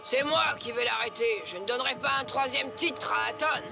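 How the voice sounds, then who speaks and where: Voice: medium pitch, normal speed, creaky, natural voice. An actor playing a determined, stubborn boy footballer in a dubbed cartoon, speaking with dramatic intensity. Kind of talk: dubbed anime acting. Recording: loud music over the voice.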